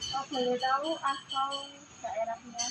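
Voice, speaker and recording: A woman's voice: sits high, flat delivery, measured pace, music in the background. A woman asking short questions in a street interview. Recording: distant mic, loud traffic noise.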